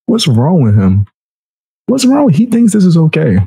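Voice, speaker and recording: Mellow-voiced man giving mocking reaction commentary. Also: on the high side, huge pitch swings, slow, slightly rough, dry recording. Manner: mocking, slangy. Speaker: reaction show host.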